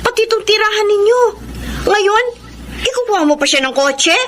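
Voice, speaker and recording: A woman's voice: extremely high pitch, lively intonation, normal speed, fairly bright tone, a little hiss or hum. A woman playing an inquisitive, frank and concerned friend in a radio drama. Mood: disapproving.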